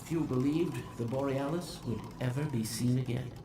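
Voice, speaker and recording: A man voicing a learned, earnest scientist in a video game, speaking in a theatrical, formal and deliberate way. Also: mid-range voice, dark tone, natural voice. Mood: grave wonder. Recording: echoey, distant, noisy game audio.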